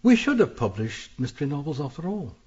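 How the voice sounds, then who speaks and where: A man playing a proud, cornered publisher and murderer in a radio mystery, speaking in a husky, formal, dramatic way. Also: fairly high pitch, very animated, a little fast, a little mellow, highs cut off. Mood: bitter, resigned.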